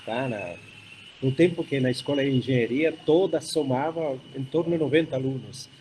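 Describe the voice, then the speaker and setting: Older Chilean-accented man, mellow voice. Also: medium pitch, a little hiss or hum. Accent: Chilean Spanish. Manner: unhurried, many fillers and restarts. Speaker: startup founder panelist. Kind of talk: hybrid panel talk.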